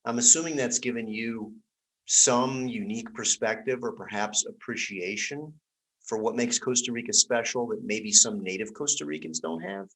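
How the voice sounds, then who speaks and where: Casting note: male interview host, lowish voice, asks thoughtful questions and reworks them mid-sentence. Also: moderate pace, fairly bright tone, rough recording.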